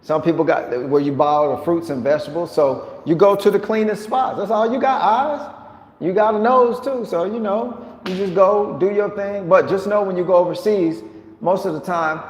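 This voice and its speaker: A man vlogging casually to his viewers, talking in an animated, slangy, joking way. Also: high voice, normal speed. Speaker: vlogger living abroad. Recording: echoey room with long reverb.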